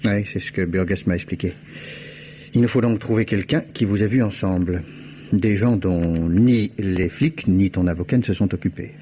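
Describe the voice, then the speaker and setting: A male actor plays a methodical amateur investigator and devoted friend. The voice is mellow and lowish, businesslike and probing. It comes through an old radio recording with faint hiss. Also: normal speed, dull audio, close to an everyday voice. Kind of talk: old French radio mystery acting.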